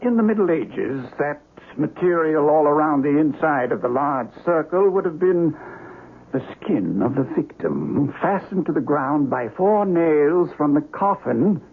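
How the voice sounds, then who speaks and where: An actor playing a learned doctor who investigates the occult, speaking precisely and formally with ominous weight in a radio drama. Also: male voice, on the high side, expressive, unhurried, breathy edge, exaggerated voice. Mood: scholarly, grave. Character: erudite, grave male occult investigator and doctor. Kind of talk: 1970s radio drama acting. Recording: dull, noisy old radio broadcast.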